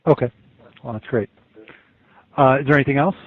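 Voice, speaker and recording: A man's voice: mid-range voice, a little fast. An American man talking informally as a law enforcement agent on a work conference call. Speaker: FBI agent. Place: intercepted telephone conference call. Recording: narrow, tinny phone conference line.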